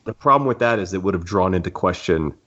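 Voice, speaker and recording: A man with a low voice talks casually as a podcast co-host. He rambles and cuts himself off in the easy style of a fan discussion. The sound is a little dull and lo-fi. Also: lively intonation, quick pace, a bit bright.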